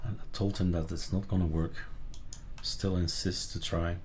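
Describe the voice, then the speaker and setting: A man hosting a casual software tutorial, thinking aloud and muttering in short fragments as he works. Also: sits low, level tone, moderate pace. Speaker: tutorial host. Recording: background noise with keyboard typing and clicks. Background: keyboard typing, mouse clicks.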